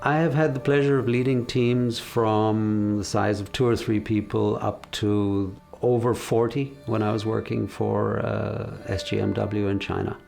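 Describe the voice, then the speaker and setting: A man in his fifties talks in a documentary interview, his low voice carrying a gravelly edge. He speaks slowly and thoughtfully, pausing often. Faint music plays beneath him. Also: fairly even intonation, darkish tone. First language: English. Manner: measured, reflective, with frequent hesitations. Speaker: car designer.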